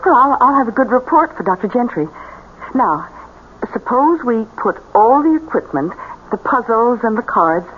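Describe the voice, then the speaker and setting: Casting: placating, uneasy hospital assistant, very expressive pitch, tinny vintage radio sound. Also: female voice, moderate pace. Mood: encouraging. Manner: gentle, instructing, placating. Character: coaxing female clinical assistant, uneasy with patient. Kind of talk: radio drama acting. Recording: tinny old radio broadcast with hiss.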